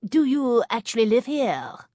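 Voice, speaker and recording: A woman's voice: fairly high pitch, sing-song, dark tone, dry recording, clean studio sound, pitched above the performer's own voice. A woman putting on a haughty French older woman. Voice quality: slow, drawling, contemptuous.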